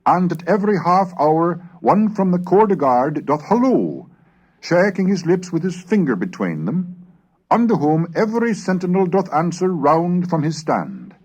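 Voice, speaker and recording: A man giving a formal, measured reading of historical English texts in a scripted, declamatory narration style. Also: sits high, sing-song, darkish tone, lo-fi sound.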